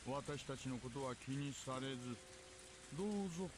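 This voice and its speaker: An actor playing a menacing middle-aged police detective in a visual novel, speaking with taunting, mock politeness. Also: male voice, mid-range voice, quick pace, noisy background, lightly acted. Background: rain falling.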